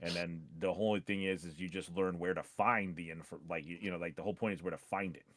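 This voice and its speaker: Male podcast co-host, lowish register, conversational advice-giver with emphatic repetition, dry recording. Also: fairly even intonation, quick pace, a bit bright, dry recording. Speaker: podcast co-host and voice-over coach. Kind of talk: casual chat with advice to voice actors.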